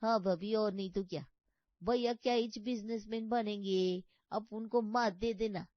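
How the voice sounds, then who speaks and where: A woman's voice: mid-range voice, fairly even intonation, unhurried, dry recording, muffled recording, lightly acted. A woman voicing a cheeky, scheming housemaid in a comic story, with slangy, ungrammatical, wheedling talk. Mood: scheming, coaxing. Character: cheeky, scheming housemaid who talks back. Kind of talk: comic cartoon story voice acting.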